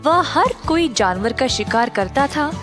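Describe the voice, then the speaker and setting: A woman storyteller reads a scripted children's tale. Her voice is high and her pace is slow, with soft music underneath. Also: big pitch swings.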